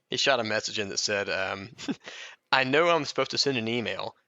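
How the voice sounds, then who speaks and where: A man's voice: fairly low pitch, expressive, moderate pace, sharp tone, no echo. A man co-hosting a podcast with casual, irreverent banter and some swearing, recorded slightly dull and dry. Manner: irreverent, profane, joking. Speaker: podcast co-host.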